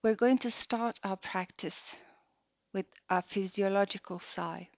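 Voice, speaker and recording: A woman guiding a meditation practice softly and unhurriedly, leaving long pauses between short phrases. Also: mid-range voice, no echo. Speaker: yoga nidra meditation guide. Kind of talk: slow guided meditation. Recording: dull lo-fi close dry recording.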